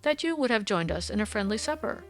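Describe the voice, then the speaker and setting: A woman voicing a brisk, courteous Victorian male detective in an audiobook, precise, formal and explanatory in manner. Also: sits high, lively intonation, fairly bright tone, faint music behind.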